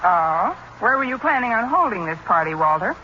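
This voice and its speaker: An actress playing a wry, wisecracking middle-aged schoolteacher with dry sarcastic delivery in a 1940s radio sitcom. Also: female voice, mid-range voice, very animated, normal speed, sharp tone. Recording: dull, noisy 1940s radio recording.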